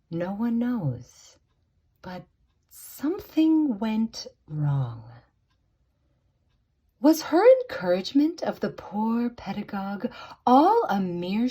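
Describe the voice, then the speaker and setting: A woman narrating a spooky tale in a theatrical, suspenseful way, with deliberate dramatic pauses, like a storybook reader. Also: medium pitch, warm tone, husky.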